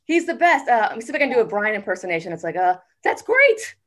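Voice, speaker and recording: A woman in her forties, a guest on a casual podcast interview, talking in a chatty, upbeat way with many "um" pauses. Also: medium pitch, sing-song, a bit bright. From United States. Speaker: guest, TV network executive.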